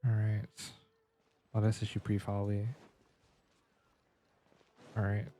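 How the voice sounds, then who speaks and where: A man's voice: on the low side, monotone, measured pace, dark tone. A man giving casual live commentary on a game, reacting in short murmured asides as a streamer.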